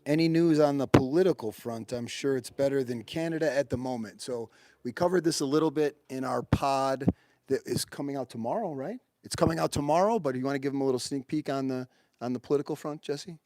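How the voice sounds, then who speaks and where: A man's voice: mid-range voice, a little fast, a little mellow, no echo. A man co-hosting a casual stream who asks questions in a light, joking way. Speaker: co-host.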